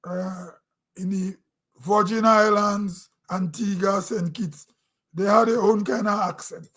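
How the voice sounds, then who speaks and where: A man's voice: high-pitched, fairly even intonation, takes it slowly, a bit bright. A middle-aged Grenadian man with a Grenadian accent, giving a rambling, conversational opinion monologue on his own online show. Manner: rambling, conversational, with throat-clearing and restarts.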